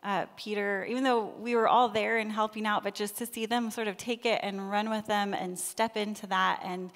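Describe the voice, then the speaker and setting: A young woman with a soft voice who speeds up when excited, giving a sermon in a church sanctuary. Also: medium pitch. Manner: soft-spoken, talks fast when excited. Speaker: lay preacher. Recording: long reverb of a church hall.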